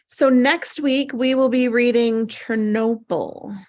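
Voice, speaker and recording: A woman's voice: on the high side, fairly even intonation, slow pace. A woman hosting a casual group chat in an informal, blunt way. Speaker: host of table read.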